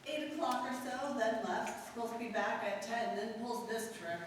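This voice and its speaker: A woman's voice: fairly high pitch, moderate pace, close to an everyday voice. Plain-spoken hotel clerk, played by a woman, echoey hall. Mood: offhand, helpful. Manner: colloquial, offhand, folksy. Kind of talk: 1950s radio drama acting. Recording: distant, very echoey hall with noise.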